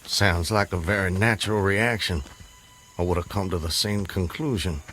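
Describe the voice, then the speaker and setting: A man's voice: sits low, steady tone, moderate pace, a bit bright. An actor playing a bewildered, wary, courteous man on the run in a radio drama, speaking hesitantly and formally. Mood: dazed, reflective.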